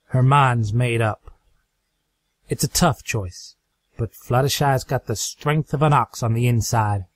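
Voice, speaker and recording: A man's voice: medium pitch, unhurried, close to an everyday voice. A man voicing a grim, plain-spoken country farm pony mare, folksy and resolute.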